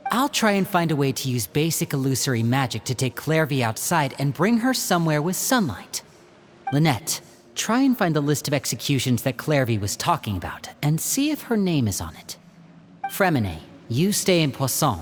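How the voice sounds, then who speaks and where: A playful young stage magician from a game, leading his siblings with a smooth showman's charm. His pitch swings about lively as he talks, never flat. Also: normal speed, a little hiss or hum. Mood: planning. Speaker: older brother planning a rescue. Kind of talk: video game dub acting.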